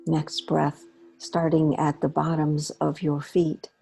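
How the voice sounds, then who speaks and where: A woman leading a guided meditation in a gentle, unhurried way, pausing between short phrases. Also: sits low, completely flat delivery, gravelly, slightly rough, faint music behind, low-quality recording. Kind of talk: guided meditation, slow soothing instruction.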